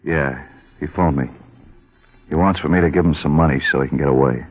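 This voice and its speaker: A troubled, plain-spoken working man talks in a very low voice. He is halting and heavy-hearted, in an old radio drama with a dull, narrow sound. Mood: resigned, reluctant. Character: troubled working-class man, ashamed of his brother. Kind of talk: 1950s radio drama acting. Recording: dull vintage radio recording.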